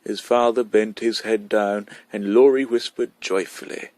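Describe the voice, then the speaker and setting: A man's voice: fairly low pitch, fairly even intonation, a little slow, a little mellow, no echo. A man reading a short story aloud as scripted narration. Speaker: amateur story reader.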